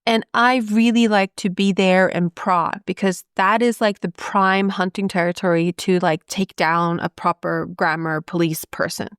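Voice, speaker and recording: A woman's voice: on the low side, fairly even intonation, moderate pace, few pauses, clean tone, no echo, clean studio sound. A woman whose first language is Swedish, co-hosting a podcast in chatty, playful conversation. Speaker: podcast co-host. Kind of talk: casual conversation.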